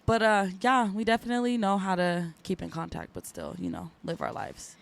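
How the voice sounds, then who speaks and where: Woman, podcast guest, gravelly, lively pitch, slangy joking. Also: fairly quick. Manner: joking, hype talk with slang. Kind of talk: casual chat.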